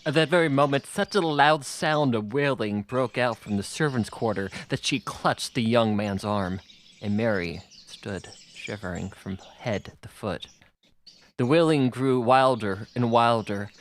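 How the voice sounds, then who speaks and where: A man's voice: mid-range voice, measured pace. A man reading a children's novel aloud as scripted audiobook narration. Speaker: audiobook reader.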